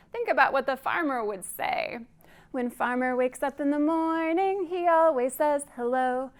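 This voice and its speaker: A woman hosting a children's storytime, reading aloud in a deliberate, singsong way and putting questions to young listeners. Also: high-pitched. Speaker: children's librarian, storytime host.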